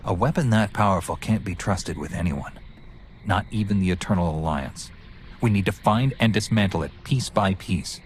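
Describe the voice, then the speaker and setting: Resolute low-voiced heroic commander played by a man. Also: moderate pace, a little hiss or hum, lightly acted. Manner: earnest, authoritative. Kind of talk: cinematic game dialogue.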